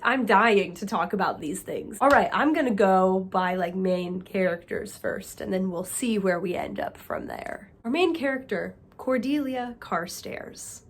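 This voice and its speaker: A young woman chatting excitedly to camera as a book vlogger, sounding a little nasal from a cold. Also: medium pitch, measured pace, few pauses, slight background noise. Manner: excited, chatty, talks to viewers as friends. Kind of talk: casual chat to camera.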